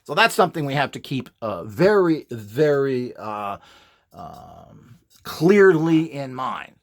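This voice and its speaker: A man's voice: medium pitch, big pitch swings, slow pace. A man giving an informal instructional monologue in a blunt, opinionated, emphatic and rambling way. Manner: opinionated, blunt, scornful of rivals. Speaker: presenter selling upgrade equipment.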